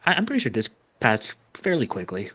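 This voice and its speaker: A man hosting a casual livestream chat, speaking haltingly and repeating words as he goes. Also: lowish voice, huge pitch swings, crowd noise. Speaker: livestream host reading out viewer donations.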